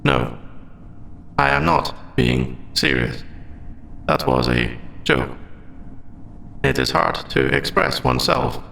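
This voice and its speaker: ASMR drama role: male AI android performed by a man, heavy vocal fry, varied pitch, formal and deliberate, faint noise behind. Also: wildly swooping pitch, sharp tone, full character voice. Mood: deadpan. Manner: stilted, precise, deliberate robotic phrasing. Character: formal, logical male android AI, secretly sentient and earnest. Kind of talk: scripted ASMR audio roleplay.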